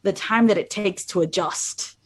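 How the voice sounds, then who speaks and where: Young woman, from Miami, casual chat, rambling, lots of "like", middle pitch. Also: moderate pace. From Miami, USA. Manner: rambling, heavy use of "like". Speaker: guest speaker, student abroad.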